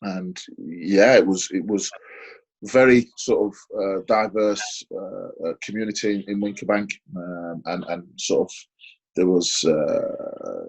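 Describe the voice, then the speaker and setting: A deep, low-pitched man from Sheffield with a husky edge to his voice. He's in his forties and talks through his answers haltingly, circling back with plenty of fillers and restarts, in a relaxed interview. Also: low-quality recording. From Sheffield, England. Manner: hesitant, many fillers and restarts. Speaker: interview guest, former footballer.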